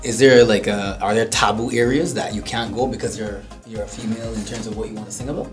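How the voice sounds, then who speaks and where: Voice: mid-range voice, steady tone, quick pace, over music. A co-host with a Barbadian accent, asking questions in easygoing, loose phrasing. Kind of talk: casual interview chat.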